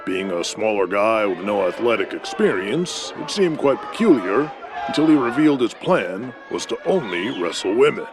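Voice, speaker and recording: A man's voice: fairly low pitch, sing-song, normal speed, over music. An actor playing an emphatic 1980s male TV wrestling announcer doing folksy play-by-play in an audio drama, with noisy arena ambience. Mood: measured recap. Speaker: wrestling commentator.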